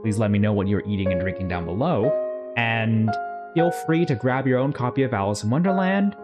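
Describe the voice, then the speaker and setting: A storyteller reading a children's classic aloud as expressive narration for a read-along. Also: medium pitch, fairly quick, over loud music.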